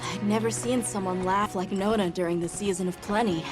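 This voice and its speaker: A woman's voice: mid-range voice, steady tone, fairly quick, over music, natural voice. A woman voicing an earnest, determined young boy who serves as a keeper, in video game cutscene dialogue. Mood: reflective.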